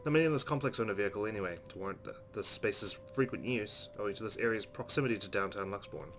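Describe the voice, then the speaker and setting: A man's voice: lowish voice, quick pace, a bit bright, a little hiss or hum. A man hosting a let's play, reading visual novel narration aloud and adding casual commentary.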